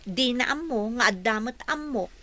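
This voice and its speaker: A woman's voice: fairly high pitch, animated, moderate pace, sharp tone. A woman with an Ilocano accent playing a determined, earnest young employee in a radio drama.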